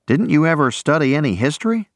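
Man reading as a joking young soldier, raised and lively, dry close sound. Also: fairly high pitch, fast talker, dry recording, voice pushed up. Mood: breezy. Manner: breezy, wisecracking. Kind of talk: audiobook character voice.

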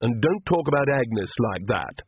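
Audiobook role: cautious vampire son male reader, aristocratic, husky, thin band-limited sound. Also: medium pitch, sing-song, measured pace, dry recording, narrow, tinny audio. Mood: angry. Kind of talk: audiobook character voice.

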